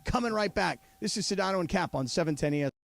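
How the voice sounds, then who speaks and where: A man co-hosting a sports talk radio show, animated and prone to interrupting and repeating himself in studio banter. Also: high-pitched, rapid-fire, gravelly, dry recording. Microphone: studio mic.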